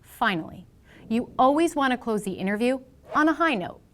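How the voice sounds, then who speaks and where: A woman's voice: on the high side, lively intonation, moderate pace. A woman delivers a scripted instructional presentation as a career coach, emphatic and in short, punchy phrases.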